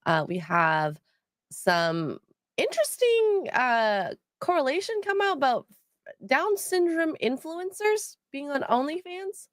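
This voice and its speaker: A woman's voice: high voice, big pitch swings, takes it slowly, no room sound, clean studio sound. A woman hosting a casual livestream chat, sounding nasal from a cold, rambling with many ums as she reads out donations. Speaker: stream co-host.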